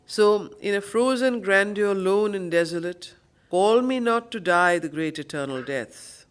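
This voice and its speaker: A woman leads a study circle, reading spiritual verse and explaining it in a deliberate, emphatic way, repeating phrases. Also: on the low side, fairly bright tone, clean tone. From India. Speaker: study circle facilitator and teacher.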